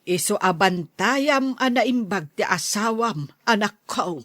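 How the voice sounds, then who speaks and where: A woman's voice: medium pitch, lively intonation, takes it slowly, fairly bright tone, no room sound. A woman acting a doting older mother, gossipy and watchful, in an Ilocano-accented radio drama. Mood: advising.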